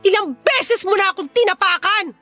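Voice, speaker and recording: A woman's voice: very high-pitched, normal speed, sharp tone, soft background music. An actress with a Filipino accent playing an exasperated, confrontational woman neighbour in a radio drama. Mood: hurt, indignant. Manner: indignant, confrontational, demanding.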